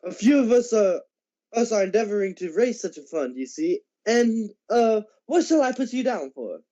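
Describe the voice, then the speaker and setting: Man playing a high-voiced, courteous charity gentleman. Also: animated, dull audio. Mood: earnest. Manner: courteous, formal, halting. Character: polite, earnest gentleman charity collector. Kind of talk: radio drama acting.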